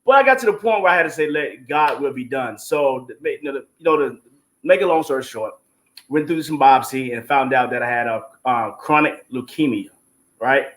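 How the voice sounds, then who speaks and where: A man's voice: medium pitch, lively intonation, moderate pace, a bit bright. A man, a stage play producer appearing as a guest, giving an informal, emphatic, faith-filled testimony. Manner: informal, emphatic, devout, punctuated with 'you know what I'm saying'. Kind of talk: testimonial talk on an online show.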